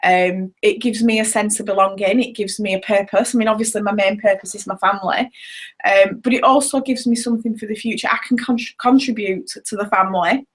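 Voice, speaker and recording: A woman's voice: mid-range voice, steady tone, a little fast. A woman in her thirties sharing her personal story in a talk, earnest and hesitant, with many ums. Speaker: network marketing seller sharing her story.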